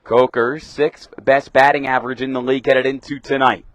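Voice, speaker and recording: A man's voice: medium pitch, level tone, moderate pace, dry recording. A young man doing live radio baseball play-by-play commentary, describing the action in short clipped phrases. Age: young adult.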